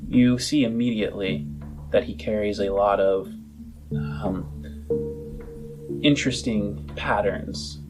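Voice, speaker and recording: A man working as game master, improvising descriptive narration for a tabletop roleplaying game with halting phrases and dramatic pauses. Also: lowish voice, over music, low-quality recording.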